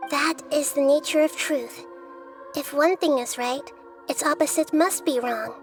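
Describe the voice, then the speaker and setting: High-voiced, calm, wise young goddess. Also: female voice, faint music behind. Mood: solemn, reflective. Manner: measured, philosophical, deliberate. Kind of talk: video game voice acting.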